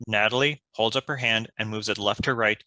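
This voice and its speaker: Bright-voiced man reading stage directions. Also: lowish voice, steady tone, fairly quick, poor audio. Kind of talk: scripted narration.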